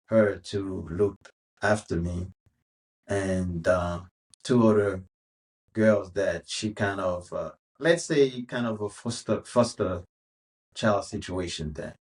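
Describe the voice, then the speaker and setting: Haitian-accented man in his fifties. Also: deep voice. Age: 50s. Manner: relaxed, unhurried storytelling with many pauses. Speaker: guest. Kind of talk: casual interview storytelling.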